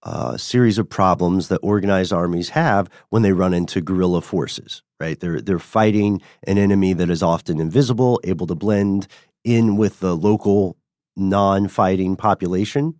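Deep-voiced animated male podcast host. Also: normal speed, no room sound, clean studio sound. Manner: animated, rising pitch when excited, frequent "right?" check-ins. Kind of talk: casual conversational storytelling.

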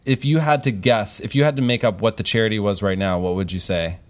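A man from Houston, Texas, interviewing a child in a friendly, casual way with chatty, animated interest. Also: on the low side, fast. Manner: chatty, slangy, reacts with exaggerated interest. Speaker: interviewer, show host.

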